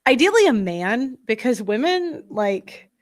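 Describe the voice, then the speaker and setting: A woman's voice: a little high, big pitch swings, a little slow. A young woman with a nasal voice and heavy breathing, chatting bluntly and casually to viewers as a podcast host. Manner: rambling, blunt, many fillers. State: breathing heavily.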